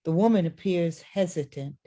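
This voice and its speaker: A woman's voice: lowish voice, normal speed, dark tone. A woman reading scripted stage directions as the narrator of a play.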